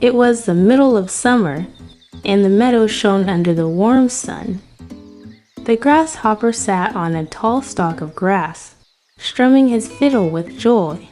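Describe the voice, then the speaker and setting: A woman's voice: mid-range voice, a little mellow. A woman narrating a scripted fairy tale for children as a storyteller.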